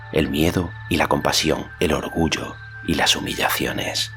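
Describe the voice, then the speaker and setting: A man's voice: very deep voice, fairly bright tone. A man reading a fantasy novel aloud as scripted audiobook narration.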